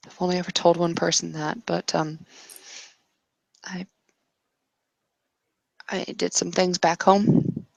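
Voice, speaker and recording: Woman acting a hesitant, regretful young woman, low creaky voice. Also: little pitch movement, no room sound, heavily acted, poor audio. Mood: wistful, confessional. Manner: halting, hesitant confessional delivery. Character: guarded, regretful young woman confiding her past.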